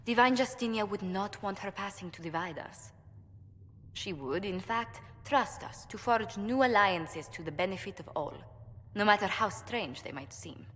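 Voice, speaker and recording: Actress as poised fantasy ambassador: smooth, diplomatic, gracious; game audio with long reverb. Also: female voice, mid-range voice, animated, normal speed, a bit bright, slight background noise, close to an everyday voice. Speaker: game character.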